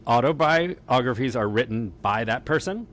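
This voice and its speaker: A man's voice: medium pitch, animated, normal speed, fairly bright tone. A man hosting a podcast in casual, rambling, self-deprecating comedic chat. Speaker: podcast host. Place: basement home studio near a heater. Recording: clear background noise, basement home studio.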